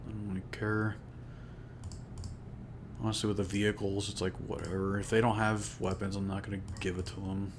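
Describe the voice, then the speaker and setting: A man with a deep, low voice, chatting casually while modding on a live stream, talking to himself and sounding tired and flat. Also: a little fast, long pauses, background noise. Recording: music playing behind.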